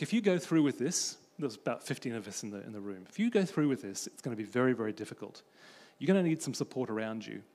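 Man, from Australia, conference speaker, storytelling, handheld mic, large hall, long reverb. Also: medium pitch. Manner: animated storytelling, pacing the stage. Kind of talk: conference talk on faith and friendship.

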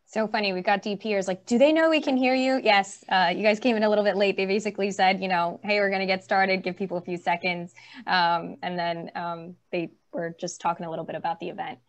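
A woman's voice: medium pitch, fairly even intonation, quick pace. A woman moderating a virtual event over a video call, chatting casually and bubbly. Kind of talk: casual chat.

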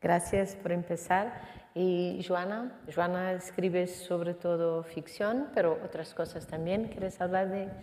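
A woman moderator, measured, asking a panelist questions. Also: on the low side, fairly even intonation, dark tone. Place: lecture hall. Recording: distant mic in an echoey hall.

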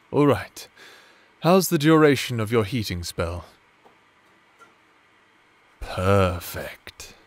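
A man's voice: fairly low pitch, slightly rough, exaggerated voice. A man playing an affectionate, playfully wicked necromancer husband in a theatrical, tender ASMR roleplay. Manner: tender, teasing, theatrical, often chuckling. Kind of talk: ASMR romantic roleplay audio drama.

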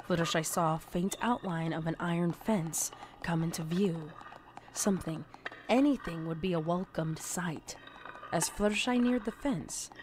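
Male horror story narrator over noisy ambience. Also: on the high side. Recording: clear background noise and ambience.

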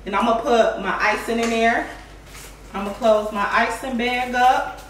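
A playful woman in her thirties chats through a home cooking vlog, her fairly high voice drawn out at an easy, unhurried pace. She talks straight to her viewers, breaking into sing-song exclamations. Her voice sounds roomy and a little distant, picked up by a camera across the kitchen. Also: fairly even intonation, a bit bright, a little hiss or hum. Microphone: far camera on tripod.

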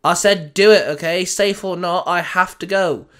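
A man voicing a brisk, determined young woman tech genius with a snappy, insistent manner. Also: a little high, fairly even intonation, a bit bright, natural voice. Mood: urgent.

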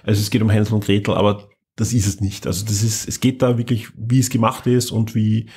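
Husky-voiced enthusiastic man. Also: on the low side, level tone, fast, a little mellow. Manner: enthusiastic, restarts often. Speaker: podcast host. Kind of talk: casual chat.

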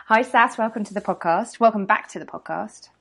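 A woman hosting a podcast, chatty and quick to laugh. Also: medium pitch, vocal fry, a bit bright, slightly rough. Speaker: podcast host. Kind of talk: casual interview chat.

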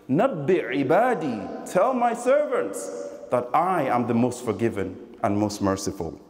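A man preaches in a measured, emphatic manner, repeating phrases for weight. His voice sits a little high and moves up and down in animated swings. It carries through a large indoor hall with long reverb. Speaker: preacher giving a Friday sermon. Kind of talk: sermon. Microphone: microphone on a stand.

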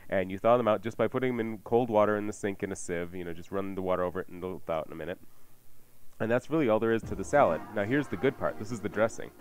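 Fast-talking low-voiced man hosting radio cooking. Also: clean tone, dry recording. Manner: chatty, informal. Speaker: radio cooking show host. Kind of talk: conversational recipe presentation. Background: music.

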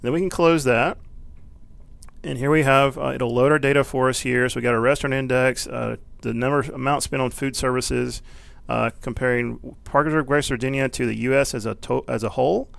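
A man with a very creaky, gravelly voice at an ordinary male pitch leads an informal software walkthrough. He explains each step casually and thinks aloud without a script. The sound is dry, with no room echo. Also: fairly even intonation, a little fast. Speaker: software tutorial instructor. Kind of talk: informal, unscripted screencast walkthrough.

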